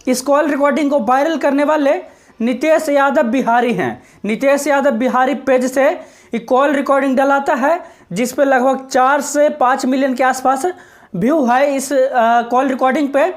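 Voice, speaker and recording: A woman's voice: sits high, fairly even intonation, moderate pace. A woman giving informal social media news commentary, explaining insistently and repeating her points.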